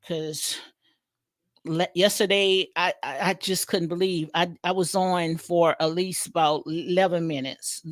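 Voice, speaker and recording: A woman's voice: lowish voice, fairly even intonation, unhurried, no echo, clean studio sound. A woman with a Southern US accent giving rambling casual commentary on a reality show during a livestream, full of fillers, restarts and repeated phrases. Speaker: commentary vlogger.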